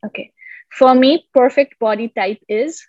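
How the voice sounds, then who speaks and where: Bright-voiced woman host, encouraging. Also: high-pitched, unhurried, no room sound, highs cut off. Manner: warm, encouraging, effusive praise. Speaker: podcast host. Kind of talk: friendly podcast hosting.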